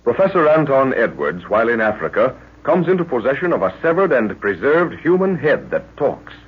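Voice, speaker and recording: A man's voice: medium pitch, breathy edge. A man narrating an old radio adventure serial as announcer, with portentous, theatrical phrasing. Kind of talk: dramatic 1940s radio scripted narration. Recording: muffled old radio recording.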